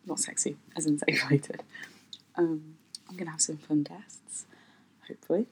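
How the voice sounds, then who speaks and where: A woman's voice: deep voice, fairly even intonation, normal speed, slight background noise, rough recording. A woman hosting her own podcast in an unscripted, hesitant chat, with lots of ums and light laughs. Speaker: podcast host.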